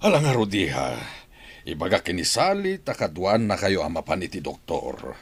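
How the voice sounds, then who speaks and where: Man playing a deep, husky Filipino father. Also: sing-song, moderate pace, dry recording, full character voice. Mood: teasing. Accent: Filipino, Ilocano. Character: older father, genial and deep-voiced. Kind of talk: Ilocano radio drama acting.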